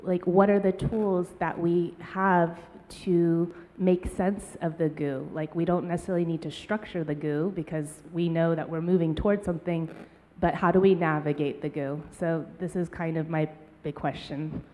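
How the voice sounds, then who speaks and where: Woman panelist, mellow lowish voice, thinks aloud with many ums. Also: steady tone, normal speed. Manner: thoughtful, searching, many ums. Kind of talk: conference panel talk. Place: conference hall. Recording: long reverb of a hall.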